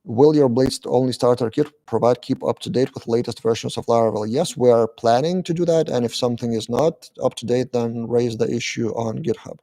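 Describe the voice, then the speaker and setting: A man from Lithuania, hosting a casual live-stream Q&A, reading chat questions aloud and answering briefly with lots of "uh" pauses. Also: mid-range voice, fairly even intonation, warm tone.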